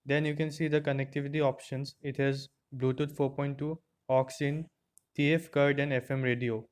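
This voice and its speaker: A man's voice: mid-range voice, little pitch movement, moderate pace. A man narrating an unboxing and product review in a plain, step-by-step explaining way, recorded on an earphone microphone.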